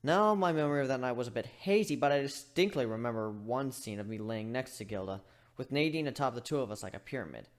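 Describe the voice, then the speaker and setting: A man giving an expressive amateur dramatic reading of a story. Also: mid-range voice, fast. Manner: animated, expressive delivery. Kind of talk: dramatic reading of fan fiction.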